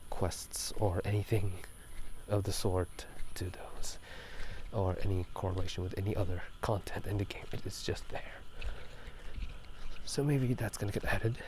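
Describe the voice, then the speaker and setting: A man speaking in soft whispers for a casual ASMR gaming chat outdoors at night, unscripted and rambling, often correcting himself. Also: sits low, steady tone, measured pace. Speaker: video host. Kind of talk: whispered ASMR chat about video games. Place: outdoors at night near rice fields.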